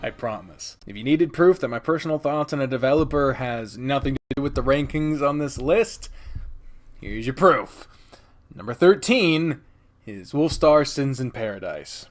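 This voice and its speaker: A man's voice: mid-range voice, lively intonation, moderate pace. A man giving a casual, opinionated countdown review of games, rambling and emphatic with plenty of swearing. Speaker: video host and game reviewer.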